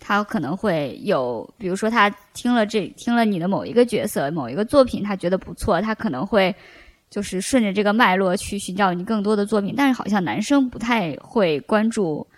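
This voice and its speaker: A woman hosting a casual podcast conversation, explaining things in a measured, hedging way. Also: mid-range voice, lively intonation, no room sound. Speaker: podcast host.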